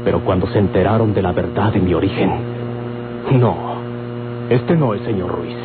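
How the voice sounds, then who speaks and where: An actor with a Mexican accent playing the bitter, tormented son of a bandit in an old radio drama, impassioned and melodramatic. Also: male voice, low-pitched, normal speed, noisy background, lightly acted. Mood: indignant. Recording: muffled vintage radio recording, loud music.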